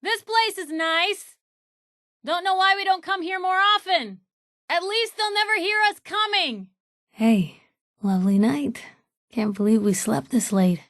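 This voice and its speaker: A woman's voice: extremely high pitch, unhurried, fairly bright tone, no room sound, studio quality. An actress playing a nosy, wisecracking young woman newspaper reporter as a video game companion, wry and sarcastic in manner. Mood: raised, wry, then wry, complaining.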